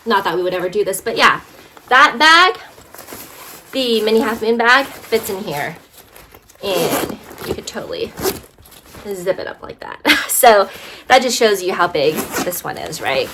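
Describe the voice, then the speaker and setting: A woman's voice: medium pitch, expressive, a little slow, pauses often, background noise. A woman vlogger casually reviewing bags, slightly congested from allergies, chatty with many fillers. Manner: chatty, lots of fillers and "right?". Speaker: fashion vlogger.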